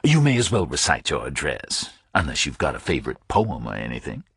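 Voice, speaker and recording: A man's voice: extremely low pitch, wildly swooping pitch, a little fast, creaky, fairly bright tone, husky, dry recording, clean studio sound, voice pushed down, heavily acted. A man voicing a worried detective posing as a phone engineer, politely stalling with a caller. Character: uneasy male detective faking a phone-company call.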